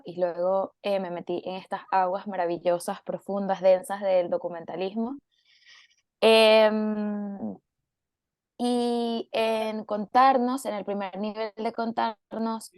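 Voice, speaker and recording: Woman, Venezuelan accent, hoarse, unhurried with filler pauses, video call, dry close sound. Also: mid-range voice, a little mellow, no room sound. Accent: Venezuelan Spanish. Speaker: photojournalist guest speaker. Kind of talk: relaxed talk on an online panel.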